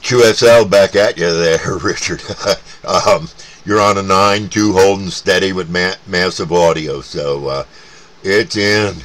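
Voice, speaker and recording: Really deep american guy, casual cb radio chat, bit of background noise. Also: measured pace, a bit bright. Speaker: CB radio operator and channel host.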